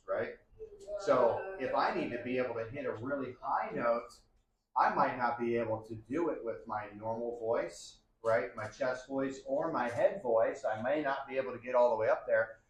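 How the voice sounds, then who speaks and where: A man with a low bass voice explaining singing registers to a class, ending his points with "right?" and demonstrating as he goes. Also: normal speed. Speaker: singer demonstrating technique. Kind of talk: explaining with sung examples. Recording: roomy, dull sound with faint background noise.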